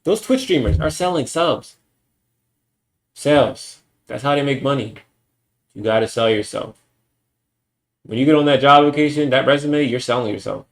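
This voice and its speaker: A man's voice: medium pitch, moderate pace, vocal fry, pauses often. A young man streaming alone, ranting bluntly and with lots of profanity in a casual monologue. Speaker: livestreamer.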